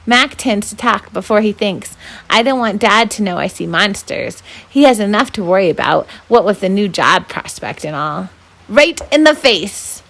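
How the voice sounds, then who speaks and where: A woman reads a children's novel aloud as a volunteer storyteller. Her pitch is average for a woman, and her narration is expressive, told in the first person. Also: normal speed, creaky.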